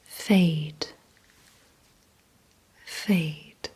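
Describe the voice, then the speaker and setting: A woman leads an ASMR roleplay in an extremely breathy whisper, right up at the microphone. Her tone is dark and mellow. She gives instructions very slowly and deliberately, repeating words and leaving pauses between them. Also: low-pitched, steady tone. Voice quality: breathy whisper, mostly unvoiced. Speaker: ASMR creator leading a word-guessing game. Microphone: close ASMR microphone.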